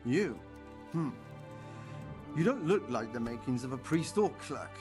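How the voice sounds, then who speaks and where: Musing medieval scholar, mid-pitched. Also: male voice, sing-song, measured pace, over music. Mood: sceptical, obliging. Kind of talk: video game drama acting.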